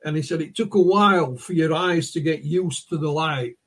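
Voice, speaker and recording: A man with a Northern English accent tells stories as a guest in a podcast interview. His voice is fairly high for a man and has a husky grain. He retells witnesses' words at length, stumbling and restarting his phrases as he goes. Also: normal speed, fairly bright tone. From North of England. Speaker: guest, UFO researcher and author.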